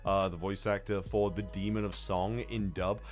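A man's voice: low voice, level tone, normal speed. A man on a podcast panel, chatting casually about films in an effusive way with plenty of swearing. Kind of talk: casual film review chat.

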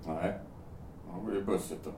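A man's voice: sits low, steady tone, mellow, slight background noise, close to an everyday voice. An actor playing a volatile, desperate man in an audio drama, speaking bluntly and sardonically with swearing. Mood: suspicious, sardonic. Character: volatile, suspicious, desperate man threatening to jump. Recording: roomy sound, distant from microphone.

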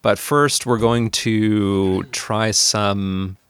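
A man's voice: fairly low pitch, steady tone, very slow, fairly bright tone, clear, resonant tone, no room sound. A man acting as game master on a tabletop game podcast, recapping the last session in an easygoing, rambling way.

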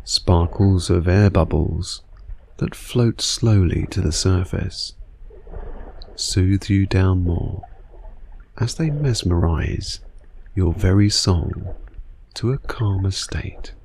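A man narrating a scripted guided sleep meditation in a soothing, unhurried manner with long pauses. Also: sits low, creaky, a little mellow, a little hiss or hum.

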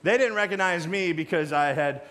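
A man's voice: high-pitched, normal speed, a bit bright. A middle-aged American man speaking as a pastor, conversational and anecdotal, in an informal talk to his congregation. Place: church stage.

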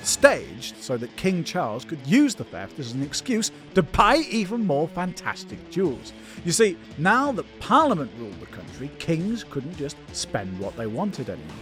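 A man's voice: on the high side, huge pitch swings, normal speed, fairly bright tone, soft background music. A British man co-hosting a silly history comedy podcast, with playful, mock-grand scripted narration.

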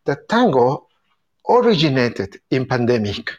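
A man's voice: mid-range voice, big pitch swings, lo-fi sound. A man from Argentina with an Argentinian accent, speaking English as a second language, enthusiastically hosting a casual chat about tango. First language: Spanish. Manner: enthusiastic, halting non-native phrasing with restarts. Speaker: tango event organizer and host. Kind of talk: casual group video chat.

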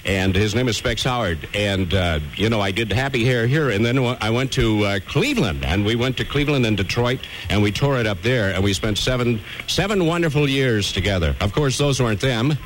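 A man's voice: medium pitch, expressive, fairly quick, hardly any pauses, a little hiss or hum, low-quality recording. A middle-aged man hosting live morning radio with jovial, joking banter. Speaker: radio host.

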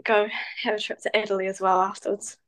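A woman, speaking as a guest on a casual interview. Her pitch sits mid-range. She answers in a relaxed but halting way, full of fillers and restarts. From New Zealand. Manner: hesitant, many fillers, relaxed. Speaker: guest, professional cyclist.

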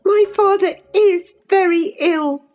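A woman's voice: very high-pitched, slow pace, a little mellow, lo-fi sound, soft background music, pitched above the performer's own voice. A woman voicing a scornful, passionate young lady in a storybook, scolding with emphatic, imperious phrasing. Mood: indignant, impatient.

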